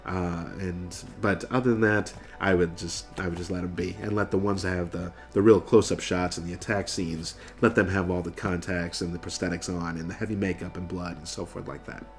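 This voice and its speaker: A man's voice: deep voice, fairly even intonation, brisk, few pauses, soft background music. An American man in his thirties, nasal from getting over a cold, casually explaining things to camera as a video host. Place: furnished room indoors.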